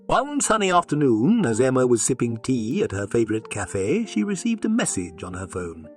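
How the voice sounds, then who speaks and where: A male narrator reads a story with lively, wide-swinging intonation. His voice has a husky grain, and the delivery is expressive and dramatic, with music playing underneath. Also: medium pitch, normal speed. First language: English.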